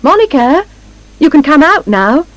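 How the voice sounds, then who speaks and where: A jolly village joker, a man as a woman reader acts him out, teases his neighbours in a storybook. The delivery is emphatic and very animated, with a dry, close sound. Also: fairly high pitch, a little slow, no room sound. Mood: calling out. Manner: calling out, emphatic. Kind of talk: dramatised storybook reading.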